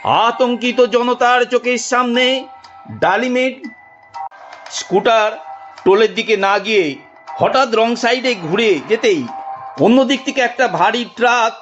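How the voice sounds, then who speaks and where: A man narrating a dramatic first-person audio story in a deliberate, suspenseful storytelling manner. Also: extremely high pitch, fairly bright tone. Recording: muffled studio narration over music.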